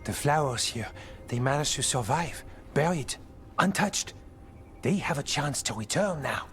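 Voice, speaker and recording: Expressive, earnest male warden. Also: mid-range voice, measured pace. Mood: hopeful wonder. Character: earnest, hopeful male warden who cherishes nature. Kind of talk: video game dialogue acting. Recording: game music underneath.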